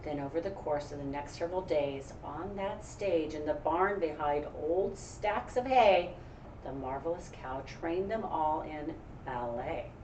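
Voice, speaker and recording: A woman's voice: deep voice, measured pace, a little mellow. An American woman teacher reading a picture book aloud, adding encouraging, reflective asides for young listeners. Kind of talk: read-aloud with casual discussion. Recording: roomy, distant mic, faint background noise.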